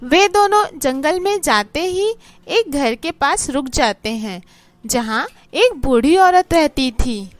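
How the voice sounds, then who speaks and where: A woman narrating a children's cartoon story in a slow storytelling manner. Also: sits high, expressive, no room sound.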